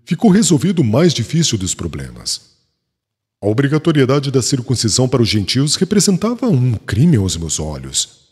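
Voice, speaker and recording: A man's voice: medium pitch, wildly swooping pitch, moderate pace, a little mellow, husky. An actor playing a satisfied, frank male apostle in an audiobook, with formal, emphatic delivery. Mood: satisfied, assertive. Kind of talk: dramatized audiobook dialogue. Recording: long reverberant hall.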